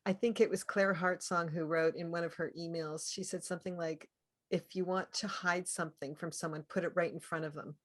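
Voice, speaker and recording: A woman with a slightly low voice hosts an informal spiritual interview. She talks in a musing, somewhat tentative manner, as if thinking aloud. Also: fairly even intonation, a little fast. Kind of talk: casual spiritual interview conversation.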